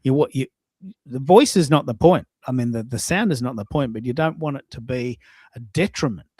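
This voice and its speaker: A man's voice: medium pitch, animated, fairly quick, darkish tone, breathy edge, no room sound. Conversational male host, dry close sound. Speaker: host of a live social audio show. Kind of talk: casual conversational hosting.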